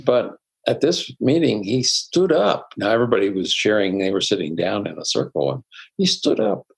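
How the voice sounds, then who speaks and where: An older man, a psychologist guest, telling personal stories in a reflective, unhurried way with soft laughs. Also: fairly low pitch, big pitch swings, creaky, breathy edge, poor audio. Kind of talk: conversational interview storytelling.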